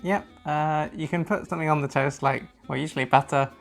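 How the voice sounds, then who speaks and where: A man's voice: fairly high pitch, steady tone, brisk, gravelly. A man from the London area with a southern English accent, chatting casually and explaining things in an informal, hesitant way. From London area, England. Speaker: guest, native English speaker. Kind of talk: casual chat. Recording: music behind the voice. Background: guitar music.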